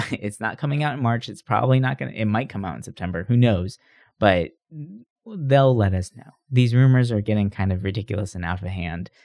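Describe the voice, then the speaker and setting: A man's voice: mid-range voice, a little mellow, no room sound, studio quality. A man hosting a podcast, talking through gaming news in a casual, conversational way and often tagging on questions to check agreement. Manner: conversational, rhetorical tag questions. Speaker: podcast host.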